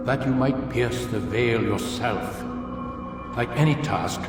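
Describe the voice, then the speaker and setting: An actor playing a stern, cryptic old master of assassins in a video game, measured, commanding and condescending. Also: male voice, medium pitch, lightly acted. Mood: grave, knowing. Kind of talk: dramatic video game acting. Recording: distant, cavernous echo, music behind.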